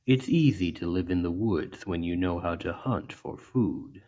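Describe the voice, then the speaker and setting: A man voicing a large, gentle orc healer in an ASMR roleplay, speaking in an earnest, measured and reassuring way. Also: deep voice, muffled recording. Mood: kindly, calm. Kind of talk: ASMR roleplay, soft storytelling to an injured listener.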